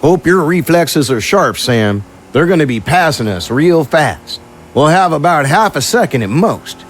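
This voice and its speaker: A steady small-town sheriff, acted by a man in an audio drama. His voice sits a little high for a man and moves freely up and down. He speaks in folksy, reassuring phrases, like someone used to taking charge. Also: unhurried, slight background noise. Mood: cool, commanding.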